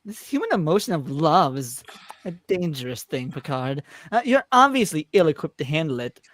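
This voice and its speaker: A performer plays a taunting, all-powerful alien trickster in an amateur script read. The delivery is theatrical and mock-wounded, with a mid-pitched voice that swoops widely. The sound is dry and close. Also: very animated, normal speed, a bit bright, no room sound. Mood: smug warning.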